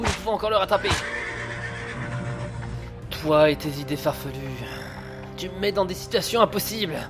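A man acting out a put-upon police inspector in an audio drama. He is flustered and exasperated, stammering and grumbling in a fairly high voice, with music underneath. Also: natural voice.

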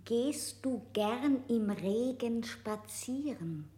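An actress playing an insistent questioner in a radio play, asking the same thing again and again. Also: female voice, on the high side, expressive, very slow, warm tone.

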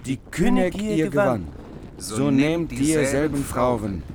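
A bard-like reciter of archaic verse in a radio drama. The delivery is very slow at a middle pitch, with crowd sound behind. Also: male voice, sing-song. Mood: measured, archaic.

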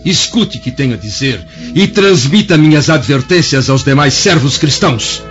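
A man's voice: mid-range voice, animated, normal speed, natural voice. Man acting stern Roman senator, dull recording. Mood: stern, commanding. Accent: Brazilian. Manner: formal, commanding. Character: austere Roman senator, stern and authoritarian husband. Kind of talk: Brazilian radio drama acting. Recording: dull lo-fi radio drama, music behind.

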